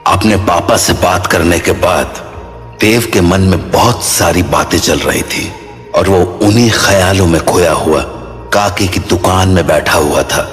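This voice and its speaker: A man's voice: sits low, a little slow, a bit bright. A man narrating a scripted horror story as its storyteller. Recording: long reverb with clear music bed.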